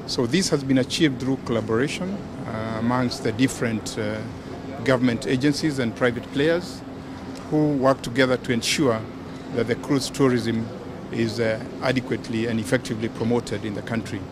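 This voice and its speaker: A man with a Kenyan accent, a port official, giving a measured press statement outdoors on a pier with many fillers. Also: mid-range voice, noisy background. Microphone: bunch of handheld press mics.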